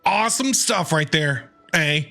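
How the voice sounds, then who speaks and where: A man streaming a video game and reacting off the cuff, playful and excitable. His voice is bright and jumps around in pitch, with faint game music behind him. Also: unhurried, gravelly. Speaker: gaming streamer. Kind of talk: casual live reaction commentary over gameplay.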